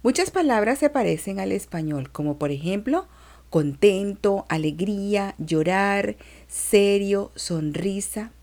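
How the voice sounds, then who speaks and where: Brief: a woman playing a sweet six-year-old schoolgirl, slow, very animated storybook reading. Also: medium pitch. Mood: cheerful. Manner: slow, sing-song, very expressive. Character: cheerful six-year-old girl telling her dream. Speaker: reader of a children's lesson.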